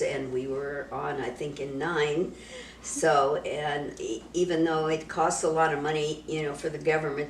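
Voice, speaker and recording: An older woman from Arizona is interviewed and speaks earnestly, halting often. Her voice is very low for a woman. She is recorded from some distance in an echoey room with faint noise behind. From Arizona, USA. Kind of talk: casual reminiscing.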